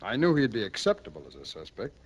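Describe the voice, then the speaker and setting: An American actor playing a shrewd, firm police captain in an old radio drama, deliberate and matter-of-fact, on a vintage recording. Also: male voice, fairly low pitch, wildly swooping pitch, husky, full character voice, rough recording. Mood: firm, explanatory.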